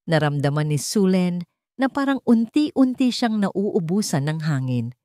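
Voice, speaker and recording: Filipino woman, lowish voice, dramatic scripted narration, storyteller, dry close recording. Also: warm tone, no echo.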